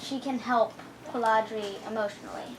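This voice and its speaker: A woman's voice: on the high side, fairly even intonation, normal speed, poor audio. A woman answering casually in a book discussion.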